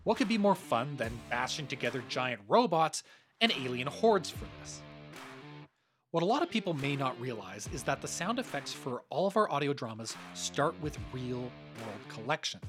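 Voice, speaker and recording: A man hosts a podcast in scripted narration, with a mid-pitched voice. Music plays clearly behind him as he presents a look back. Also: sing-song, moderate pace. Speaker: podcast host and audio drama producer. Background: background music.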